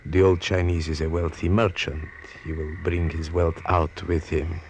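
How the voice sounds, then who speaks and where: A man playing a cold, sly smuggling boss with a gravelly voice and formal, measured speech in an old-time radio drama. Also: low-pitched, level tone, lightly acted. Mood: smooth, businesslike. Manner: measured, formal, smoothly sinister. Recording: noisy vintage radio recording.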